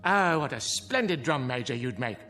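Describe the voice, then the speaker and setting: An actor playing a sardonic, world-weary clown in a radio drama, with florid, grandly mocking delivery. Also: male voice, medium pitch, expressive, normal speed, bright, forceful tone, slight background noise.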